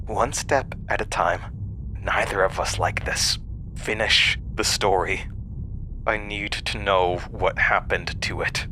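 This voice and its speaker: A guarded, ominous male interrogator speaks in a very low voice with a hard, tense edge. He is terse and controlled, as in a horror radio play, over a faint rumbling noise. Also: fairly even intonation, slow, bright, forceful tone, heavily acted. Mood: guarded, firm. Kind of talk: audio drama dialogue.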